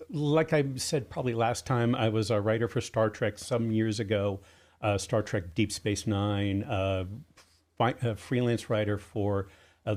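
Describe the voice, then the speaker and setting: A middle-aged or older man giving a conversational radio interview as a guest writer, halting as he recounts details. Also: on the low side, a little mellow. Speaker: guest, audio drama writer and producer. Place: radio studio.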